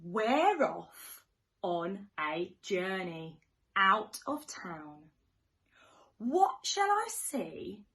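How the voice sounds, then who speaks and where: A woman teacher reading a picture book to young children in an expressive sing-song way, with questions, gasps and hushed asides. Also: medium pitch, drawn-out words. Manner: animated, questioning, dramatic gasps and hushed near-whispers.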